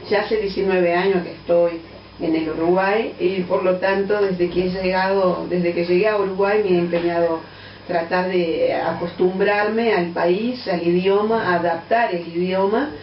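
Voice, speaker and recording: A middle-aged woman from Angola with a Portuguese-tinged accent, a guest answering interview questions thoughtfully, with many pauses and fillers. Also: on the low side, steady tone, a little hiss or hum. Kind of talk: radio interview. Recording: distant, roomy, dull lo-fi radio sound.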